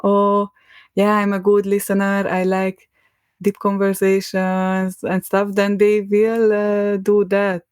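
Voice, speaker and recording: A woman's voice: medium pitch, completely flat delivery, slow pace, a little mellow, clean tone, no echo, studio quality. A young woman with a Hungarian accent, a podcast guest talking in a rambling, hesitant way and laughing often between thoughts. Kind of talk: casual conversation.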